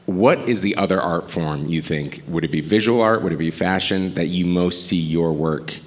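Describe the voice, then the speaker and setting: A man's voice: low-pitched, normal speed. A man interviewing a novelist onstage, encouraging and full of short affirmations. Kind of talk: live onstage conversation. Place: festival stage. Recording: long hall reverb.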